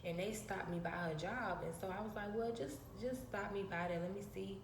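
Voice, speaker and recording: A woman with a soft, reserved voice, casually telling a rambling story to her phone camera and repeating her phrases. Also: medium pitch, fairly quick, reverberant, background noise. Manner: rambling, repeats phrases, slips into quoted dialogue. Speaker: vlogger.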